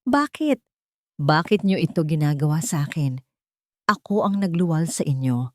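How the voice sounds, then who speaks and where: A woman's voice: sits low, expressive, moderate pace, dry recording, studio quality. A woman playing a frail, frightened old mother, pleading and bitter, in an audio drama. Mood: bitter anguish.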